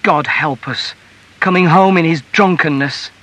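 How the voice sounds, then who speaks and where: A man's voice: on the high side, a little slow, a bit bright, voice pushed up. A man voicing an angry, reproachful working-class wife in a storybook reading, scolding with raised, emphatic delivery.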